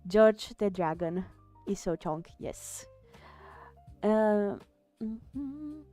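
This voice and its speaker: A woman's voice: medium pitch, expressive, drawn-out words, warm tone, soft background music. A woman with an Italian accent, chatting casually on a live art stream, reading chat aloud and trailing off with fillers. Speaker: artist streamer.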